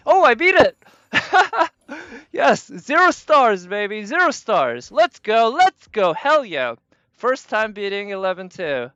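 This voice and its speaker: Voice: mid-range voice, big pitch swings, slow pace, fairly bright tone, no room sound, highs cut off. Casual game streamer muttering through play. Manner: talking to self while playing, mild swearing. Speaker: game livestreamer. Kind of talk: casual live gameplay commentary.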